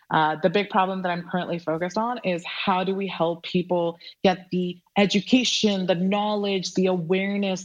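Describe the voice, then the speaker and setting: A young woman entrepreneur from Pakistan, talking earnestly and reflectively as a podcast guest, with frequent fillers. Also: fairly low pitch. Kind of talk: casual interview talk.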